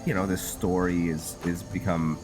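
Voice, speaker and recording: A man giving casual game commentary, musing and halting as he thinks aloud to himself. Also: deep voice, level tone, music in the background. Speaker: gameplay host narrating a roleplay playthrough.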